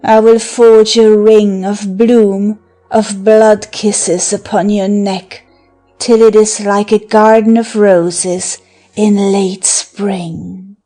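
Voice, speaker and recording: A woman's voice: mid-range voice, little pitch movement, slow, dark tone, faint music behind, close to an everyday voice. A woman playing a teasing, mocking seductress with magical wiles in a theatrical audiobook verse drama. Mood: seductive, commanding. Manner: theatrical, taunting, breaking into laughter and sighs.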